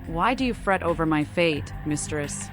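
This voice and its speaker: A woman's voice: deep voice, lively intonation, normal speed. An actress voicing a mad female vampire seer in a video game, theatrical and cryptic. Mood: wry, challenging. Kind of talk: video game protagonist voice-over. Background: music.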